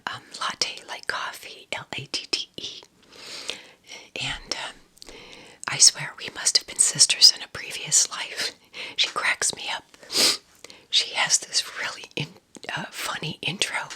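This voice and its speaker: A woman whispering casual ASMR recommendations in a breathy, husky voice, with an unhurried, affectionate manner. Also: low voice, steady tone, sharp tone. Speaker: ASMR content creator.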